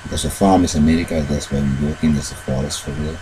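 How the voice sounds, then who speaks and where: A man telling a long, rambling personal story in halting English, with many fillers and loose grammar. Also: extremely low pitch, fairly even intonation, warm tone, slight background noise, rough recording. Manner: retells events with shifts into quoted dialogue.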